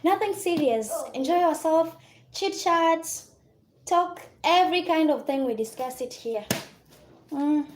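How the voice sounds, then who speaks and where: Kenyan lady, pretty high voice, just chatting on her live, reading out comments n thanking folks. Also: lively intonation, measured pace, a little hiss or hum. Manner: chatty, reads and answers viewer comments, thanks viewers by name. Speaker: livestream host. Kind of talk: casual livestream chat.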